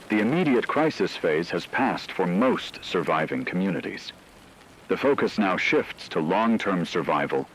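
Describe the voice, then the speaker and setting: A grave male news anchor performed by an actor delivers an apocalypse radio bulletin. His low voice is measured and formal, with faint noise behind the scripted report. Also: fairly bright tone, rough recording.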